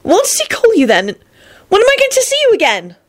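A lovesick young woman from a storybook, acted out by a woman reader, pitched well up and swooping. She is plaintive and easily frightened, with her feelings close to the surface. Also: big pitch swings, fairly quick. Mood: wailing, angry. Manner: plaintive and emotional.

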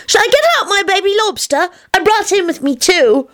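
A woman's voice: extremely high pitch, animated, moderate pace, a bit bright, pitched above the performer's own voice. A woman voicing a cheerful, bold, precocious little boy in a story, speaking in an earnest, knowing, childish way. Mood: knowing, gleeful.

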